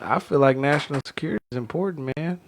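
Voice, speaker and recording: A man's voice: on the high side, fast talker, a crowd in the background. A man on a podcast panel chatting casually, putting in short slangy remarks. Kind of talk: casual chat.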